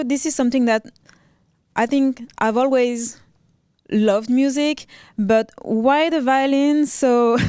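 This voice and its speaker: A young woman with a French accent, a violinist chatting as a guest in a casual interview, soft-spoken with frequent ums and restarts. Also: a little high, clear, resonant tone, no room sound, studio quality. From Reunion Island, raised in Paris.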